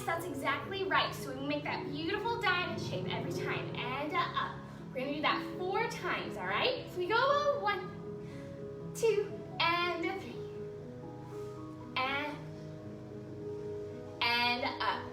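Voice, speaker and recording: High-voiced, lively woman teaching children ballet. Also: unhurried, long pauses. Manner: encouraging, praising as they go. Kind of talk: instructing a children's dance class. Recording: echoey room, distant mic, music behind.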